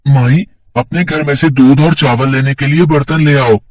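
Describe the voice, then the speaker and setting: Man as a gentle child god, slightly distant. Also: medium pitch, fairly even intonation, moderate pace, no room sound, lightly acted. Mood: gently instructing. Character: child-form elephant god, gentle, coaxing and benevolent.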